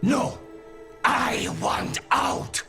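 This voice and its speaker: An actor playing an angry, demanding demon spirit in a video game, speaking in menacing, emphatic phrases. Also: male voice, very slow, bright, forceful tone, raised voice, faint music behind. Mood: furious.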